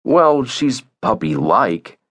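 A man's voice: mid-range voice, very animated, takes it slowly, a bit bright, voice pushed up, heavily acted. A man voicing an easygoing, good-hearted dad with a coaxing, reassuring manner in an audiobook. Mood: casual.

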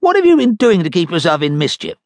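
A man's voice: a little high, wildly swooping pitch, fast, no room sound, voice pushed up. A man voicing a pompous, conniving con man who talks like a plummy army officer. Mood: blustering.

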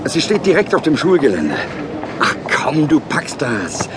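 Male, hearty buddy-style dentist for radio play, expressive delivery, noisy platform. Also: fairly high pitch, measured pace. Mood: cheerfully encouraging. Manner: hearty, chummy, encouraging. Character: jovial bearded dentist, mother's new boyfriend. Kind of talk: audio drama acting. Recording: clear vehicle and station noise.

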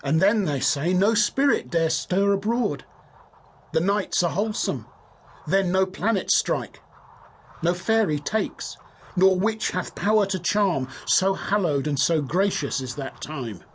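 Earnest soldier sentry, slightly high-voiced. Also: male voice, animated, unhurried, breathy edge, heavily acted. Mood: reverent, hushed. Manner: formal verse delivery. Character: earnest, devout soldier sentry on night watch. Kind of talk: dramatised Shakespearean audiobook acting.

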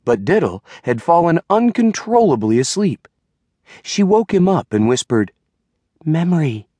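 A man's voice: on the high side, sing-song, moderate pace, a little mellow, dry recording. A man reading literary fiction as an audiobook narrator, in a scripted storytelling style.

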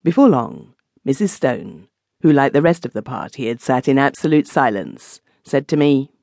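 A male audiobook narrator reads an old ghost story in measured, literary phrasing. His voice sits at a middling pitch. The sound is dry, with no room around it. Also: big pitch swings, creaky, darkish tone, no echo.

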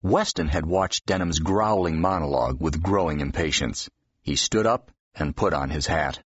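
Deep, husky male audiobook narrator. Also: normal speed, no room sound, lo-fi sound. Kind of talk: scripted narration of fiction.

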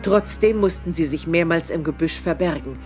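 A man's voice: a little high, moderate pace, darkish tone, lightly acted. A man playing the narrator of a horror audio drama, reading scripted, ominous storytelling. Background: prominent music.

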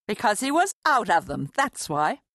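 Man doing a cranky old lady haggler, higher voice, lively swooping pitch, dry close sound. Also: moderate pace, gravelly, dry recording, heavily acted. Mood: indignant. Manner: complaining, sharp. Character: cranky old woman customer, haggling, huffy. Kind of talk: audiobook character voice.

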